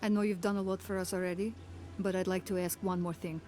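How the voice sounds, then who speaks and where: A woman's voice: fairly low pitch, little pitch movement, fast talker, darkish tone, a little hiss or hum, close to an everyday voice. Actress as a firm, worried woman settlement leader. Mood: worried, resolute. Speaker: community leader. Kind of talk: naturalistic video game dialogue.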